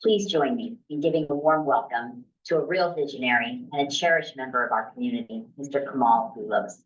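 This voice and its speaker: A woman's voice: rumbling low, a little fast. A woman hosting a meeting, giving a formal, ceremonious introduction of a guest speaker. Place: meeting room. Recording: dull, distant sound.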